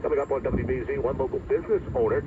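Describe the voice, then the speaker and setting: A man's voice: medium pitch, very fast. A man working as a radio news anchor, reading scripted news over AM radio. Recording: tinny, very noisy AM radio reception, distant.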